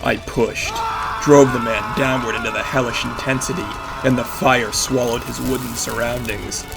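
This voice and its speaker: A man's voice: mid-range voice, fairly even intonation, normal speed, creaky, close to an everyday voice. An actor playing a battered vigilante who narrates his own story in intense, clipped phrases, in the style of an audio drama. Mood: grim, tense. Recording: music behind the voice. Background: dramatic music.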